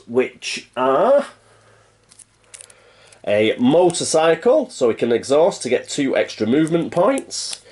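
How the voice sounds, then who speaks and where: A man's voice: mid-range voice, a little mellow. Stuffy-nosed man explaining a board game. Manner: chatty, rambling, thinks aloud with many fillers. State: stuffy nose from a cold. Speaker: board game channel host. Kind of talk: casual explanation of a solo board game setup.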